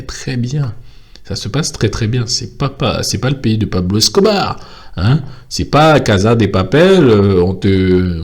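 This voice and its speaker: A man's voice: deep voice, a little mellow. A young man from French Guiana talking casually to his viewers, rambling with many fillers and restarts. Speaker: vlogger. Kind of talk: casual monologue to camera.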